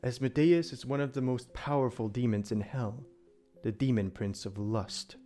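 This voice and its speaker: A man playing a calm, solemn immortal demon protector in an audio drama, speaking in formal, measured, archaic phrasing. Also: medium pitch, soft background music, natural voice. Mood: grave. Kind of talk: audio drama character acting.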